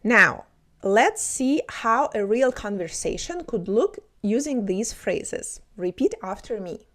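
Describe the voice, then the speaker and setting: Lively slow-speaking woman teacher. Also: mid-range voice. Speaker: language teacher. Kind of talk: podcast language lesson.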